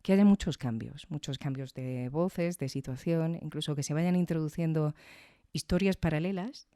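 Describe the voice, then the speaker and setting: A woman's voice: deep voice, normal speed, mellow, no room sound, studio quality. A woman hosting a podcast, reviewing in a conversational, reflective way and often checking in with tag questions. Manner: reflective, frequently seeking agreement with tag questions. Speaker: podcast host.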